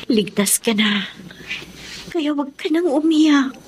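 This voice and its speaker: A caring, resolute young woman in a radio drama. Her voice sits a little high, and she sounds earnest and reassuring, like an eldest sister holding the family together. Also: animated, slow, over loud music. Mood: soothing. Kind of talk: emotional radio drama acting.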